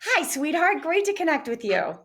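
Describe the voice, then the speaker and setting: A woman's voice: extremely high pitch, big pitch swings, normal speed, a bit bright. A woman co-hosting a TV morning show, gushing and encouraging as she chats with a guest. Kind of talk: friendly interview chat.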